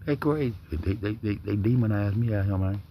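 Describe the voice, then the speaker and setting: A man hosting a livestream with a big deep voice, talking low and dropping to a near-whisper as he reads text aloud, then riffing in loose, profane commentary. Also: brisk, dull audio, a little hiss or hum. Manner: talks low, often drops to a near-whisper. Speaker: livestream host.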